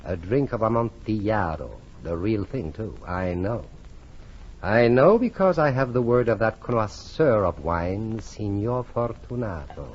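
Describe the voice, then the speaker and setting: An actor playing a vengeful nobleman with a falsely friendly, smoothly polite manner in 1940s radio drama style. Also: fairly low pitch, lively intonation, a little slow, a little hiss or hum. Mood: coldly confiding. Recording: muffled 1940s radio recording, music behind.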